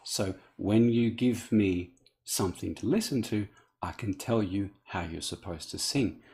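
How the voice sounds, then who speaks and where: A man's voice: fairly low pitch, measured pace, a little mellow. A man from Australia, talking earnestly and unscripted as a coach, often restarting his sentences. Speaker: accent coach. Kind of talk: direct-to-camera appeal.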